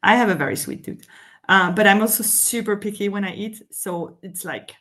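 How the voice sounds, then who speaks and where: A woman's voice: medium pitch, normal speed, fairly bright tone. A woman from Belgium with a Belgian French accent, chatting casually as co-host of a live stream, with lots of "um" and "like". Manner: chatty, laughs often, fillers like "um" and "like". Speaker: summit host.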